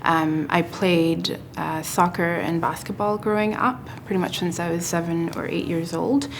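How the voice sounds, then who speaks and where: A woman in her forties from West Africa, telling her story in a thoughtful, measured way in a documentary interview. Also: lowish voice, a little hiss or hum. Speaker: interviewee, combat sports athlete.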